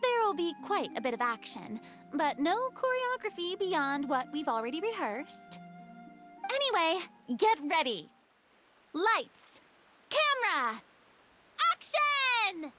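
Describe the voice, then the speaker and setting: A woman's voice: very high-pitched, big pitch swings, takes it slowly, pushed and bright, faint music behind, full character voice. An actress playing a confident, upbeat young girl in a video game, encouraging and emphatic. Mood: upbeat, commanding. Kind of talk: animated video game acting.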